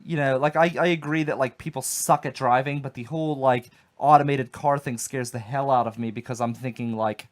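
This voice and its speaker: A man's voice: medium pitch, steady tone, fairly quick, hardly any pauses. A man hosting a casual radio talk show, talking in a rambling, chatty way full of fillers. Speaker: host.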